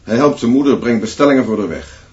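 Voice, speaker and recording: Concerned schoolmaster, played by a man, radio drama, medium-pitched voice, plain short pleas, dull recording. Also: fast, darkish tone, natural voice. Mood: concerned, pleading. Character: concerned male teacher pleading for a struggling pupil.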